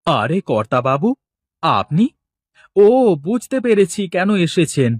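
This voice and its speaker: A man's voice: fairly high pitch, sing-song, slow, no room sound, full character voice. Man acting a knowing village fellow, dry sound. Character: knowing, self-assured village man, respectful to his boss. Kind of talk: cartoon dialogue acting.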